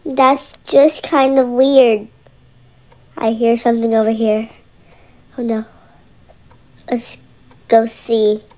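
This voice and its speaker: Slow-talking nervous boy, voiced by a youngster. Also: medium pitch, little pitch movement, dark tone, natural voice. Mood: wary, muttering. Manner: talks to himself, casual youthful slang. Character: scared young boy trapped in nightmares. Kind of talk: amateur voice-over for an animated horror roleplay.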